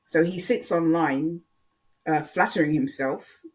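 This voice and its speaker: Someone rambling casually on their channel, medium pitch, kinda hesitant, repeats words to make the point. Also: measured pace. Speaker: online video creator.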